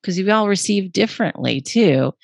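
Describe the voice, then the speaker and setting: A woman guest who writes on nutrition, talking casually in a rambling way with many fillers, her voice a little hoarse today. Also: mid-range voice, animated, normal speed, no room sound. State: slightly hoarse. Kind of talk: casual podcast conversation.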